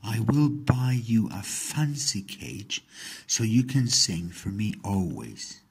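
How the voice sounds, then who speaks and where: A man voicing a sentimental, music-loving king in a storybook, speaking in declarative, expressive lines. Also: lowish voice, takes it slowly, husky, voice pushed up, exaggerated voice. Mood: delighted.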